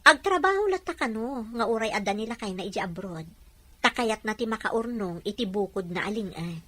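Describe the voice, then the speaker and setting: A woman's voice: mid-range voice, expressive, a little fast, fairly bright tone, no echo. A woman playing a good-natured caretaker in an audio drama, explaining things patiently and reassuringly.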